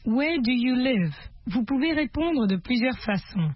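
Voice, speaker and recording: A woman teaching English to French speakers in a scripted, deliberate, instructional manner. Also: sits high, expressive, slightly rough, no room sound. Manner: instructional, deliberate, repeats questions. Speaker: language teacher. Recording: muffled lo-fi audio, loud guitar music.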